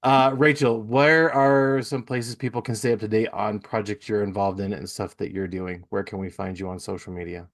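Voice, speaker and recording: Guy podcast host, lowish voice, casual and into it, chatting away. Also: steady tone, normal speed, hardly any pauses. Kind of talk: casual podcast chat and plugs.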